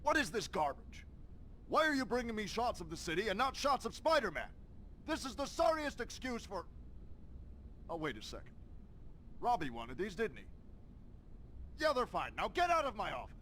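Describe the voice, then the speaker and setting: Barking, high-strung bombastic newspaper boss. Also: male voice, huge pitch swings, moderate pace, pauses often, sharp tone, a little hiss or hum, exaggerated voice. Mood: grudging, gruff. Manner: barking, impatient, blustering.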